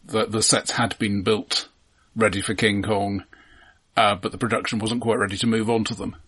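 A man's voice: lowish voice, level tone, normal speed, a bit bright, slightly rough. A man co-hosting a podcast, talking casually about films with an informed, precise manner. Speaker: podcast co-host. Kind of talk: casual film discussion.

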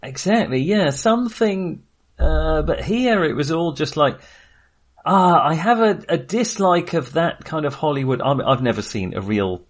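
A man's voice: a little high, measured pace. A British man co-hosting a film podcast, chatting casually and expressively, often acting out imagined lines. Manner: expressive, acts out imagined quotes.

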